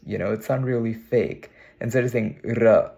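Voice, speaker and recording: Low, gravelly young man, musing. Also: moderate pace, dark tone. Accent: American, put on. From India. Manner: musing, meandering, with frequent "you know". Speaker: vlogger. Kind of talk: casual reflective monologue to camera. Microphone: camera mic, talking to camera.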